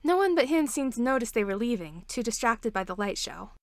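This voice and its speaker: A woman's voice: fairly high pitch, very animated, a little fast, no room sound. A woman reading a scripted story aloud as narrator. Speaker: podfic reader.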